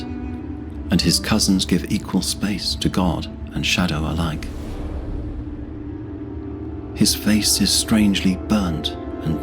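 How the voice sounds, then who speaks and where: A man narrating scripted fantasy as a storyteller in a solemn, measured manner. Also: deep voice.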